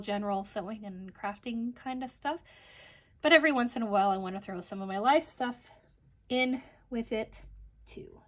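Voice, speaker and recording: Chatty Canadian woman vlogging. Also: a little high. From Canada (Alberta). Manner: chatty, rambling with frequent ums. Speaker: crafting channel host. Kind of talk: casual vlog chat.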